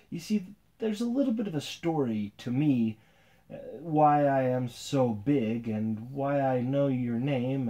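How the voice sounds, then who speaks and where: A man voicing a kind, secretive giant little girl in a bedtime story, with a soft, hesitant, coaxing way of talking. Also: mid-range voice.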